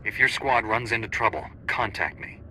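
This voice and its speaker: A man's voice: on the low side, moderate pace, sharp tone, a little hiss or hum, poor audio. A man playing a confident, steadfast Jedi hero in a video game, firm and authoritative. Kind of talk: scripted video game acting. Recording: music behind the voice.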